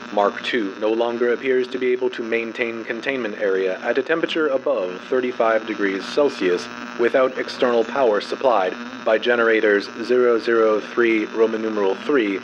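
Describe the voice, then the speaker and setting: A man giving a formal, measured, scripted dramatic narration of a clinical report. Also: fairly low pitch, background noise.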